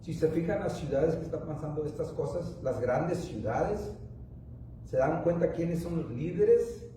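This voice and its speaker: A man preaches a sermon in a large, echoing room, with his voice picked up from a distance. His tone is warm and dark, and he talks haltingly, restarting and repeating himself often. He is a native English speaker. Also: on the high side, a little hiss or hum. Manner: rambling, halting with many restarts and fillers. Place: church.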